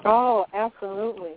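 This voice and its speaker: A woman calling in to a radio show, enthusiastic and rambling with ums. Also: mid-range voice. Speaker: caller. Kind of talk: call-in radio. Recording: tinny phone line with crowd noise.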